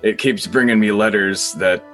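A man's voice: lowish voice, fairly even intonation, moderate pace, a bit bright, faint music behind, close to an everyday voice. A man playing an anxious, pleading young wizard in an improvised comedy sketch, stammering and repeating his words.

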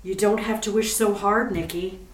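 A woman playing a witty, sharp-tongued young wife in a 1940s-style radio play, quick and teasing. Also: mid-range voice, fairly even intonation, noisy background, lightly acted. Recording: echoey room, distant mic, music behind.

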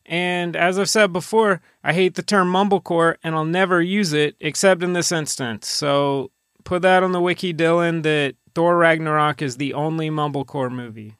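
A man co-hosting a podcast, chatting casually about movies in a hesitant, deadpan way. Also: fairly high pitch, normal speed, clear, resonant tone, no echo, studio quality. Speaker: podcast co-host.

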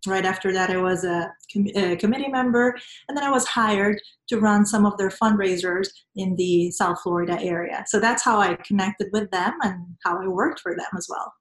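A woman's voice: medium pitch, normal speed, reverberant, rough recording. A woman from Venezuela with a Venezuelan Spanish accent, answering interview questions with earnest, reflective personal storytelling. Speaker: interview guest, nonprofit professional.

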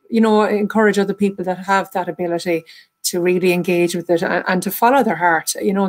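Earnest Irish woman, flat delivery. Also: lowish voice. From Ireland. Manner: earnest, many fillers like "you know". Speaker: panelist, medium and energy therapist. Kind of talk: online panel discussion. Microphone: video call.

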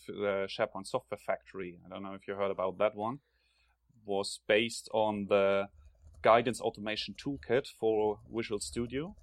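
German-accented man, low voice. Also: no room sound, studio quality. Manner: hesitant, with many fillers. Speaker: podcast guest, developer. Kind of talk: casual interview talk.

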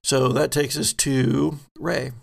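A man acting as game master, narrating a tabletop roleplaying game with halting, improvised descriptions. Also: medium pitch, level tone, gravelly.

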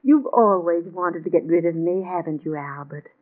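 A woman's voice: fairly low pitch, normal speed, natural voice. An actress playing a haughty, suspicious older mother-in-law who stammers when alarmed, in 1940s radio thriller style. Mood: haughty, accusing. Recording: narrow, tinny 1940s radio recording.

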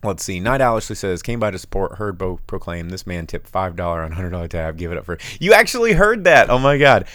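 A man hosting a casual chat show, rambling and animated, with sudden loud bursts in a big dad voice. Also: low voice, fast, hardly any pauses, no echo, studio quality. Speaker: podcast host and bar owner.

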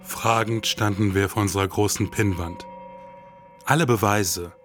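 A man's voice: fairly low pitch, steady tone, unhurried, faint music behind. A man hosting and narrating a podcast in a dramatic, brooding, hard-boiled detective manner. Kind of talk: scripted noir detective narration.

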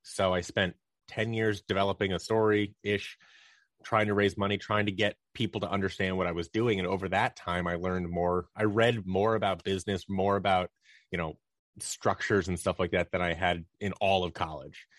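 A man's voice: sits low, steady tone, moderate pace, a bit bright, no room sound, clean studio sound. A man talking casually as an interview guest, explaining his views and thinking aloud in a conversational way. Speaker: podcast guest, audio drama producer and performer. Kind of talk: casual interview talk, explaining opinions and experience.

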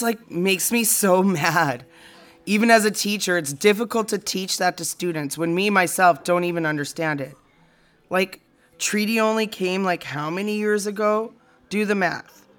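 A woman's voice: medium pitch, close to an everyday voice. A woman playing a reflective, frustrated teacher in a naturalistic audio drama conversation. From Canada.

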